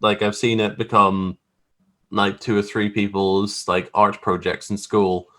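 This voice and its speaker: Low-voiced man chatting informally as a guest. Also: level tone, measured pace, a bit bright. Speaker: guest graphic artist. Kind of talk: casual video interview, explaining own work. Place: remote video call.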